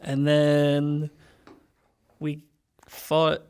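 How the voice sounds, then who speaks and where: A man casually recapping a tabletop game as a player, speaking haltingly and jokily, often chuckling as he talks. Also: on the high side, monotone, a little mellow, clean tone. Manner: halting, jokey, chuckles while talking. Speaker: player in a tabletop role-playing podcast.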